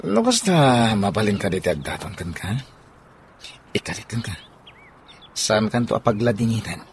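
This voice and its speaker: A man's voice: fairly low pitch, sing-song, normal speed, a little hiss or hum. A man playing an earnest suitor courting a separated woman in an Ilocano radio drama. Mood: earnest, probing.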